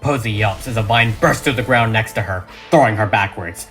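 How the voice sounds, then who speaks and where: A man's voice: lowish voice, brisk, fairly bright tone. A man reading a script as dramatic narration, with emphatic, suspenseful delivery. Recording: music behind the voice.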